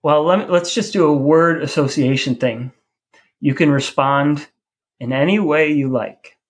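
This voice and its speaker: A man's voice: fairly high pitch, unhurried. A man hosting a podcast, talking in a casual, conversational way. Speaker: podcast host. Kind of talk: casual podcast talk between brothers.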